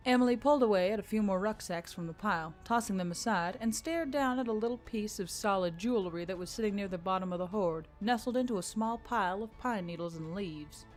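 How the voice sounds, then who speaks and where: A woman's voice: medium pitch, fairly quick, hardly any pauses, faint music behind. A woman reading scripted fiction as a dramatic narrator. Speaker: story narrator.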